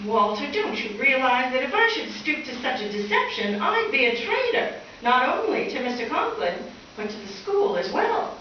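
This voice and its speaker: Woman playing a wry, lively schoolteacher, echoey. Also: high voice, moderate pace, hardly any pauses, lo-fi sound, full character voice. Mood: wry reproving. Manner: dry, articulate, reproving. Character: wry, sensible female schoolteacher with a conscience. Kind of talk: old-time radio comedy acting. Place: live stage performance in a reverberant room. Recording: echoey distant stage recording, faint noise.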